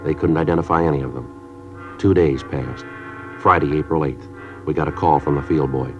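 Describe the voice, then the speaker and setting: An actor playing a stern middle-aged police sergeant who narrates in a clipped, matter-of-fact way. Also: male voice, rumbling low, steady tone, moderate pace. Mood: flat narration. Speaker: detective narrator. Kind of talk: 1950s radio acting. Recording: dull old radio recording with music.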